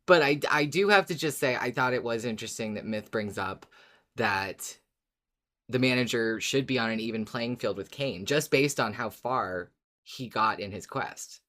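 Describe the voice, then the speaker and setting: A woman's voice: a bass voice, moderate pace, fairly bright tone. A woman co-hosting a podcast with casual, chatty banter. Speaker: podcast co-host.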